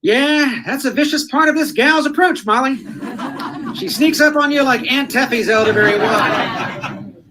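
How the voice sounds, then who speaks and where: A man's voice: extremely high pitch, unhurried, crowd noise, background noise. A man playing a folksy, suspicious, exasperated husband in an old-time radio comedy. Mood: grumbling. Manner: folksy, exasperated comic delivery. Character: grumbling, suspicious husband, folksy comic blowhard.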